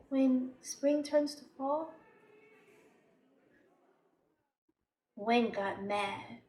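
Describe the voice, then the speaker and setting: An unhinged, high-voiced jealous young woman. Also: exaggerated voice. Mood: bleak, brooding. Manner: slow, deliberate storytelling swinging into bitter outbursts. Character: embittered, unhinged jealous young woman wronged by her sister. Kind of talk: dramatic stage monologue. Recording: roomy echo, distant microphone.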